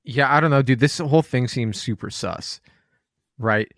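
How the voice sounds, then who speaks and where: A man's voice: medium pitch, normal speed, fairly bright tone, dry recording, clean studio sound. A man co-hosting a podcast, joking around with sarcastic asides. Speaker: podcast co-host. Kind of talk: casual podcast chat.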